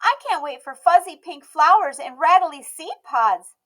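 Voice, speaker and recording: A woman's voice: very high-pitched, animated, a little slow, a bit bright, pitched above the performer's own voice, exaggerated voice. A woman voicing a stubborn, eager little girl in a storybook, with an emphatic, pouty, pleading delivery. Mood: excited.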